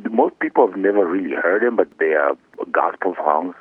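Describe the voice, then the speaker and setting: A man's voice: low-pitched, animated, normal speed, breathy edge, dry recording, phone-quality sound. A man speaking casually as an interview guest, in an encouraging way that repeats phrases for emphasis. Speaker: guest actor and musician being interviewed.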